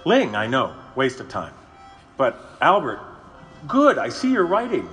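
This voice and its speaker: A man's voice: medium pitch, huge pitch swings, a little slow, fairly bright tone, soft background music. A man playing an exasperated advocate pleading with condemned men in an audio drama. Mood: urgent pleading.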